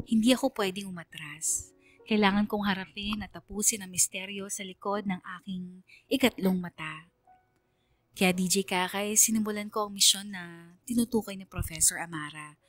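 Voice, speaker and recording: A mid-pitched woman's voice narrates a radio drama as a troubled young letter sender. The delivery is earnest, reflective and dramatic, with faint music underneath. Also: fairly even intonation, moderate pace, lightly acted. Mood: troubled, resolute.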